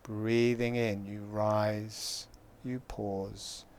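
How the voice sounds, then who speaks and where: A man guiding a breathing meditation, giving calm, gentle instructions with long pauses. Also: fairly low pitch, slight background noise. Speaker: meditation guide.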